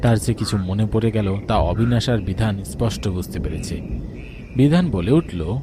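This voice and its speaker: A man's voice: on the low side, animated, moderate pace, noisy background. A man narrating a scripted, dramatic spooky story, with music underneath.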